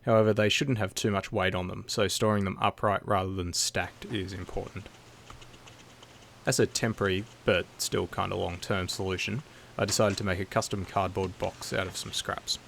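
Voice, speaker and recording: DIY voice-over: male, deep gravelly tone, measured instructional read, faint noise behind. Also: fairly even intonation, a bit bright. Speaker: DIY video narrator. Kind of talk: scripted how-to voice-over.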